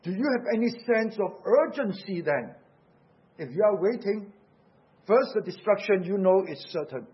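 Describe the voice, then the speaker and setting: A man's voice: high voice, animated, normal speed, breathy edge, dull audio. A man from Singapore with a Singaporean English accent, preaching a sermon earnestly and urgently, asking rhetorical questions.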